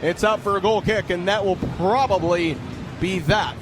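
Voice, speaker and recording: A man's voice: high-pitched, moderate pace. A man doing live play-by-play soccer commentary on the radio, from an open-air stadium. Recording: noisy outdoor stadium ambience. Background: loud stadium ambience.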